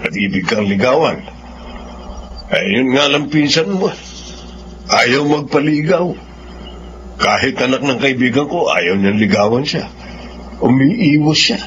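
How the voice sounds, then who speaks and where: A man's voice: mid-range voice, lively intonation, a little slow, pauses often, a bit bright. A man acting a hospitable, concerned middle-aged uncle in a Filipino radio drama. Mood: welcoming, frank. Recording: dull lo-fi audio with faint noise.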